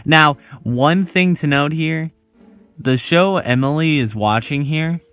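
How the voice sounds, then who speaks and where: Male video host for scripted explainer narration. Slow delivery with dramatic pauses between short phrases, light music underneath. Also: fairly high pitch, fairly bright tone, clean tone.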